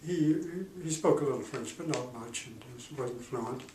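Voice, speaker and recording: A man in his eighties, a retired diplomat, recalling his career in an oral history interview, pausing often with fillers. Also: mid-range voice, a little mellow. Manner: halting, many pauses and fillers. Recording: roomy, distant mic, faint noise.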